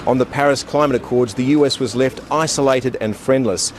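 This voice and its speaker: A man giving a deliberate, critical political commentary. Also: medium pitch, slight background noise. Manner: grave, deliberate critical analysis. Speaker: political commentator in a radio clip. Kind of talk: measured spoken commentary.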